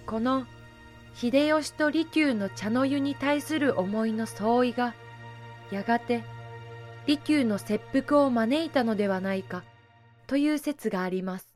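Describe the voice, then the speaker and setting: Female narrator, fairly high voice, formal scripted storytelling, historical drama, light music underneath. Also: measured pace, a little mellow.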